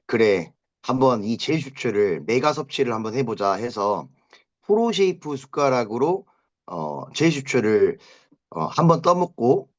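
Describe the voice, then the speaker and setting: A man in his thirties with a mid-pitched voice, heard through dull, lo-fi online meeting audio. He gives a testimonial to a group in a halting, informal way. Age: 30s. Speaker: business owner giving a product testimonial.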